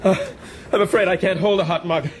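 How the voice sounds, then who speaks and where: An actor playing an earnest, courteous gentleman sailor, anxious but dutiful, in a radio drama. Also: high-pitched, steady tone, fairly quick, close to an everyday voice. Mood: polite, weary. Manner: polite, urgent. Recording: voices amid loud sea noise and shouting.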